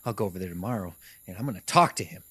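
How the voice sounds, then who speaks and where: A man's voice: deep voice, expressive, rapid-fire, pushed and bright, husky, no room sound, full character voice. A man playing a frustrated, bitter small-town mechanic who grumbles and swears to himself in a radio mystery drama. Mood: brooding, uneasy.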